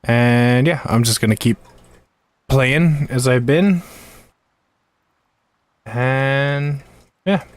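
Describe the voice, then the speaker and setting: A man hosting a livestream in casual chat, low-key, speaking in halting short phrases into a close microphone. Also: dry recording. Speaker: streamer, host.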